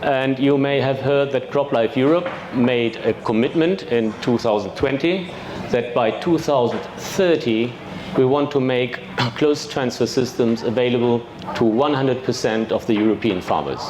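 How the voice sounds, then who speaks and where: A man with a German accent giving a technical presentation at a trade fair, measured, with fillers and throat clearing. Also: mid-range voice. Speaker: industry expert presenter. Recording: echoey hall with background noise.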